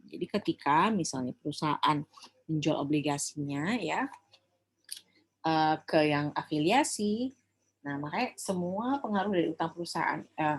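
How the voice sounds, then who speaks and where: An Indonesian woman with a slightly low, husky voice gives an informal lecture. She explains step by step in a conversational way, often adding small tag questions to check understanding. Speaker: accounting lecturer.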